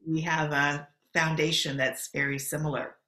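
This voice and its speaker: A middle-aged American woman with a lowish, bright, forceful voice. She tells her story in an articulate, measured way, and the room gives her an echoey ring. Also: flat delivery. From Los Angeles, USA. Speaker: guest. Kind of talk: interview answers, casual. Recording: roomy echo.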